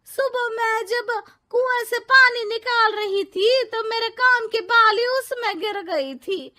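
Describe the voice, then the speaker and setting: Elderly village mother-in-law, very high sharp voice, scolding, melodramatic, cartoon storybook. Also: slow, full character voice. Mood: naive, excited. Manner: loud wailing, exclaiming rustic talk.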